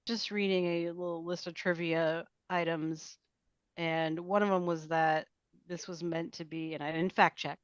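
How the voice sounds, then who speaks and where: A woman's voice: on the low side, little pitch movement, measured pace, clear, resonant tone, no echo. A woman chatting casually in a group discussion, on a dull, lo-fi microphone.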